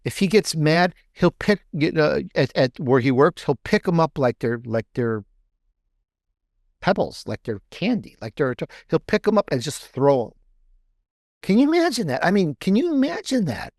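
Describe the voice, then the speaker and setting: A man's voice: fairly high pitch, very animated, normal speed, no echo. Man chatting enthusiastically, dry close sound. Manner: enthusiastic, emphatic, repeats phrases for emphasis. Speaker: guest author and podcaster. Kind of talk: casual interview conversation.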